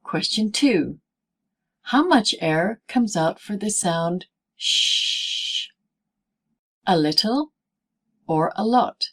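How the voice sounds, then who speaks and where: A woman presenting a scripted pronunciation lesson as a teacher, speaking in deliberate, clearly segmented phrases. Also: medium pitch, animated, a bit bright. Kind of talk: scripted instructional narration in easy English.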